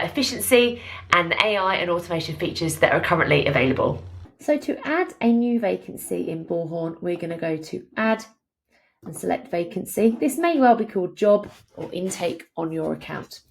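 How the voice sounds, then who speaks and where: A woman in her thirties presents an instructional software walkthrough. Her voice sits a little low, with noticeable vocal fry. She explains each step in a conversational way.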